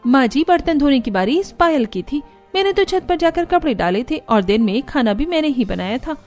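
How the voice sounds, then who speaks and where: A woman's voice: high-pitched, sing-song, a little fast, a little mellow, faint music behind. A woman voicing a practical, grumbling elder daughter-in-law in a cartoon family story, matter-of-fact and slightly aggrieved. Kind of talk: animated family story dialogue.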